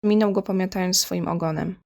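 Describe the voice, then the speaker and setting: A woman's voice: lowish voice, steady tone, a little fast, vocal fry, darkish tone. A woman reading scripted story narration in Polish as the narrator of an audio drama.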